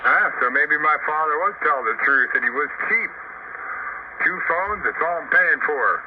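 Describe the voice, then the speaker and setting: A man's voice: on the high side, animated, normal speed. An older man in casual, reminiscing conversation, talking over a two-way radio as one of a group of operators. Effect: radio transmission. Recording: dull noisy two-way radio transmission.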